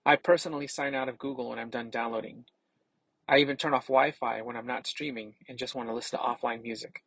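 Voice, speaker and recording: Male tech reviewer, casual explainer read for a product demo, mid-pitched with noticeable fry, recorded very dry. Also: steady tone, fast talker, a bit bright, dry recording, rough recording. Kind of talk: scripted product demo narration.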